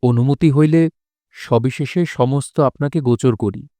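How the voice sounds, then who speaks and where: Mellow, earnest male courtier played by an actor. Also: medium pitch, lightly acted. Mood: humble, pleading. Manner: formal, earnest, deliberate. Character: noble, loyal, humbly pleading male courtier. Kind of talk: Bengali audio drama acting.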